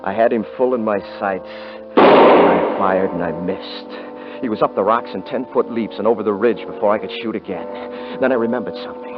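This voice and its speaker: An actor playing a cocky, rough-talking frontier hunter who also narrates, in a 1950s radio drama. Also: male voice, low voice, close to an everyday voice. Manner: rough rural grammar, boastful. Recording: muffled vintage radio recording.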